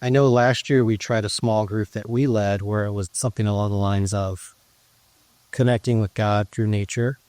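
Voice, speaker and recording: A man's voice: lowish voice, steady tone, normal speed, darkish tone, no echo. A man interviewed as a guest about growing food and his ministry, speaking earnestly with frequent restarts. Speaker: guest, urban farmer and ministry leader. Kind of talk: conversational interview.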